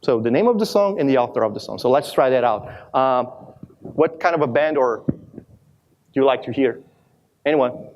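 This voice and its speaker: A man from Brazil in his thirties with a strong Brazilian accent, giving a lively live demo talk at a conference, with many restarts and self-deprecating asides. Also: medium pitch, normal speed, long pauses, dull audio, a little hiss or hum. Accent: Brazilian Portuguese. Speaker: conference speaker. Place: large conference hall with audience.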